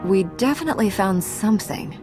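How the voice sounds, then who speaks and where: An actress playing a steadfast, self-reliant female knight in a video game, speaking in a measured, resolute way. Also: mid-range voice, expressive, close to an everyday voice. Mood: calm, firm. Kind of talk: video game cutscene acting. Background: music.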